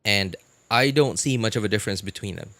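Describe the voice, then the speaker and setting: Guy streaming a game, lowish voice, close dry sound, chatting w viewers, repeats himself a lot. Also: dry recording. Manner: halting, repeats phrases, animated incredulous reactions. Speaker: live streamer, gaming host. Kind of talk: casual live-stream chat, answering viewer messages.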